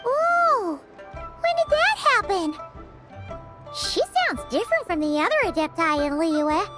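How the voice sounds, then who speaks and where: Very high, squeaky-young excitable fairy. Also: female voice, takes it slowly, over loud music, heavily acted. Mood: worried, curious. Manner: bubbly, exclamatory, childlike. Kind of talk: animated game voice acting.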